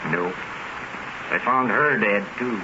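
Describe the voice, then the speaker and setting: A man's voice: on the low side, slow. An actor playing a folksy, plain-spoken local man with a grave, matter-of-fact delivery in an old-time radio drama. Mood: grave gossip. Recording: noisy, dull vintage radio recording.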